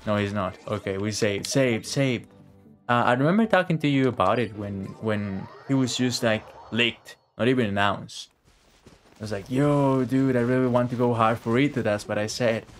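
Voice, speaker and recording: A man's voice: mid-range voice, normal speed. A man streaming a game with casual, rambling live commentary full of slang and swearing. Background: faint game music.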